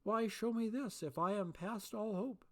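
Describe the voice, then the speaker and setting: A reader voicing a remorseful old miser who turns giddy, pleading and exclaiming at a lifted pitch. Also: unhurried, close to an everyday voice. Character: old miser, repentant and overjoyed.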